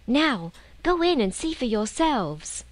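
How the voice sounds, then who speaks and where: A woman voicing an earnest, excitable little girl in a storybook, breathless and insistent. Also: sits high, takes it slowly, pitched above the performer's own voice, full character voice. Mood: excited, pleading.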